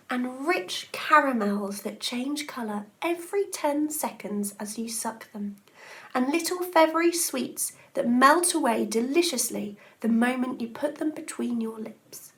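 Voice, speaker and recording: A woman's voice: fairly high pitch, fairly bright tone. A woman voicing an enthusiastic old grandfather in a children's storybook, speaking with emphatic, savouring drama about marvellous things. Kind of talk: children's storybook read-aloud.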